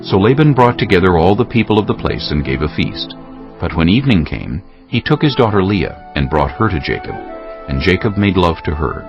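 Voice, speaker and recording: A man with a very low, gravelly voice narrates scripture solemnly. His pitch moves expressively, and music plays faintly beneath the slightly dull recording. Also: very animated, a little fast. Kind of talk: scripted dramatic Bible narration.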